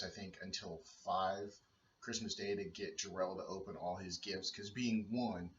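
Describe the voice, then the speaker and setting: A man's voice: a bit bright, a little hiss or hum. A man in his thirties, a father, chatting casually in a home video blog, hesitant and rambling with many fillers and restarts. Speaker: vlogger and father. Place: dim room at home. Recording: roomy, distant, dull low-fi sound.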